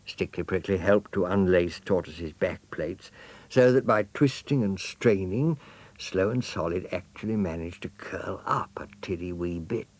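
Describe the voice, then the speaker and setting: Low-voiced man reading a children's story. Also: unhurried, darkish tone. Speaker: audiobook reader.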